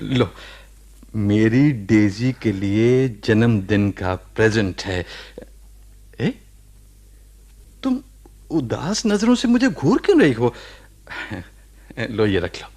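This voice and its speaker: An actor playing a gentle ailing husband in a Hindi radio drama, speaking earnestly with pauses between phrases. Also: male voice, fairly low pitch, sing-song, full character voice. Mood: tender, teasing.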